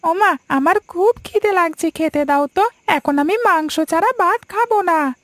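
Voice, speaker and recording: A woman's voice: very high voice, a little slow, a bit bright, no echo, clean studio sound. A woman voicing a spoiled, demanding little boy in a fairy-tale cartoon, whiny and petulant.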